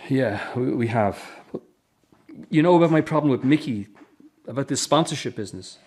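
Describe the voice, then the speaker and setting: A man's voice: mid-range voice, expressive, a little fast, a little mellow, close to an everyday voice. A middle-aged man acting in a radio drama as a regretful estranged husband and father, subdued and resigned in manner.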